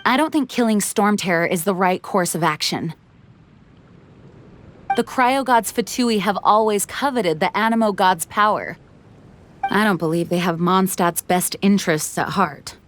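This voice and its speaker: A woman's voice: medium pitch, a little hiss or hum, lightly acted. A woman playing a composed, earnest knight commander. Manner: measured, formal, sighing. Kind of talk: game cutscene voice acting.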